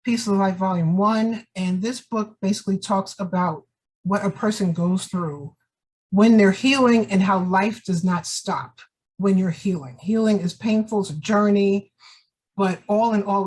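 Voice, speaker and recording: New York-accented woman poet, gentle reading voice, mid pitch. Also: a little slow, breathy edge, low-quality recording. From Queens, New York. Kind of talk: poetry reading.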